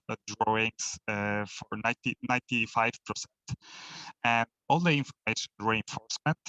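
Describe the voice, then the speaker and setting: Brief: Polish man presenting on a video call, bright sharp tone, dry close sound, hesitant English. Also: mid-range voice, no echo, muffled recording. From Poland. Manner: halting non-native English, frequent uh fillers. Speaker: webinar speaker, bridge designer. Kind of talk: online presentation. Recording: crackly voice over video call.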